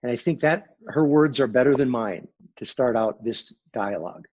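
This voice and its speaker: Raspy-voiced man, measured and emphatic. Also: medium pitch, a little mellow, dull audio. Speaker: sales consultant guest. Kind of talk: conversational business interview.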